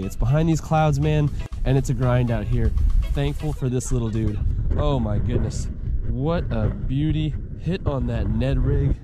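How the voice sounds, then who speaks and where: Folksy American man vlogging over music. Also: mid-range voice. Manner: colloquial, folksy slang. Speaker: fishing vlog host. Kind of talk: casual outdoor vlog chat to viewers. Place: outdoors by a pond. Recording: music laid over speech.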